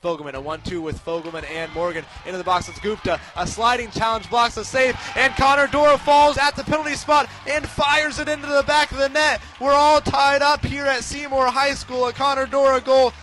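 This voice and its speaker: A young American man giving live radio sports commentary, moving between measured description and excited, shouted calls. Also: very high voice. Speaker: play-by-play sports announcer.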